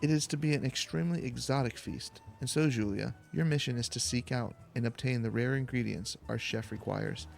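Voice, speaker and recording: Man playing a haughty, gravelly mercenary boss. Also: mid-range voice, a little fast, few pauses, faint music behind, lightly acted. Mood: pompous. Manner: condescending, imperious, formal. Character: haughty, demanding male former mercenary captain giving errands. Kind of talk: fantasy game dialogue read aloud.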